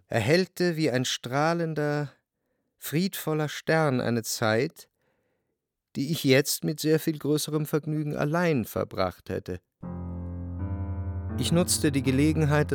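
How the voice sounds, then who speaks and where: An actor playing a reflective, possessive, melancholy gentleman who narrates a literary radio drama in a measured, articulate, introspective way. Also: medium pitch, vocal fry, no room sound, clean studio sound. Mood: self-examining. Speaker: first-person narrator. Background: music.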